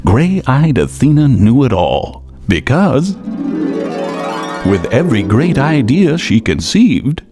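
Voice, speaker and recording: A playful hipster myth storyteller, real slow and rhythmic, music going behind him. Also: male voice, medium pitch, very animated. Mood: playful, grand. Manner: theatrical, drawn-out rhyming delivery with wordplay. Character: hip, playful storyteller of Greek myths. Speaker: narrator. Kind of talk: rhythmic rhyming radio storytelling over music.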